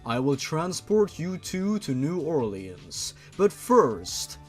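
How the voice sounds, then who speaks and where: Protective tendrilled father creature, acted by a man, formal and calmly commanding, storybook reading over music. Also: fairly high pitch, slow, exaggerated voice. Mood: calm, instructive.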